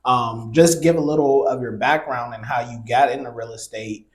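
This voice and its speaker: A man hosting a podcast in a casual, chatty way, often pausing with "um" and repeating words. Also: mid-range voice, echoey room. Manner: relaxed, chatty, many ums and repeated words. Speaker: podcast host.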